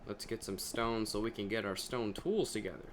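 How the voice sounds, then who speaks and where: Chatty man with a deepened voice. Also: fairly even intonation, normal speed, slight background noise. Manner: chatty, talks to himself while playing. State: voice deeper than his usual. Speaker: gaming video creator. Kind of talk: casual gameplay commentary.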